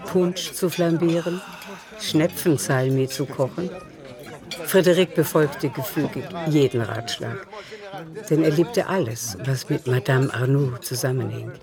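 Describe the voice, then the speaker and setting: A woman's voice: very deep voice, measured pace, a crowd in the background. A woman narrating a literary radio play in a scripted, storytelling style.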